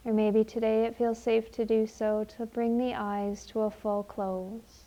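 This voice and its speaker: A woman leading a guided meditation in a gentle, unhurried, soothing manner with long pauses. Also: fairly high pitch, level tone, lo-fi sound.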